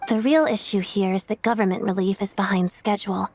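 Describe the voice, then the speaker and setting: A woman's voice: medium pitch, fairly even intonation, fairly quick, darkish tone, dry recording, close to an everyday voice. Poised young woman officer, acted by a woman, music behind. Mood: composed, businesslike. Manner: composed, polite, businesslike. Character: poised young female dancer and military officer, principled, efficient. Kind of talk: English game dub dialogue.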